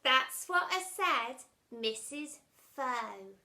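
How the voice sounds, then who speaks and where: A sly, smirking schoolboy ringleader who drawls out fake politeness, as a woman puts him on in a storybook. The voice is high and bright, slow and teasing. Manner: slow, mock-sincere politeness.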